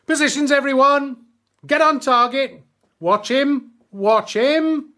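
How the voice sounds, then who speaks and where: A man's voice: very high voice, takes it slowly, sharp tone, full character voice. A man playing a blunt, commanding First World War army sergeant in a radio drama. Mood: barking orders. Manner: barking, blunt orders.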